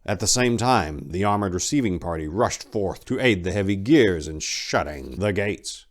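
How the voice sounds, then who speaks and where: A man's voice: low voice, animated, normal speed. A man narrating a story in a dramatic, scripted reading style.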